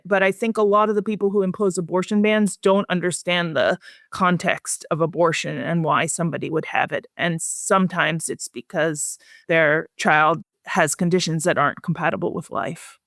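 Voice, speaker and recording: A woman speaking as an expert guest in a research interview, explaining things in a measured, plain-spoken way. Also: fairly low pitch, a bit bright, no room sound, studio quality.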